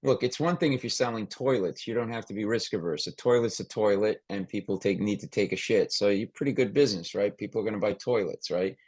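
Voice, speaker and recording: A man's voice: fairly low pitch, fast, hardly any pauses, a little mellow, slightly rough, no echo. An American man hosting a conversational podcast interview, talking emphatically and often restarting and repeating his phrases.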